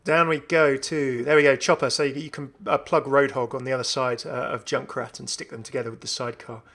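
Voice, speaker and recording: A man hosting a gaming video, giving casual, enthusiastic commentary in a creaky voice as he browses game items. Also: medium pitch, steady tone, a little fast, fairly bright tone. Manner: chatty, enthusiastic. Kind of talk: casual gameplay showcase commentary.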